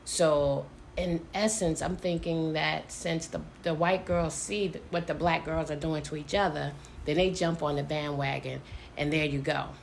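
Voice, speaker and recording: Middle-aged woman, low and gravelly, measured conversational delivery. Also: steady tone, a little hiss or hum. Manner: measured, hesitant with fillers. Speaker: mother. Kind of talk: casual conversation.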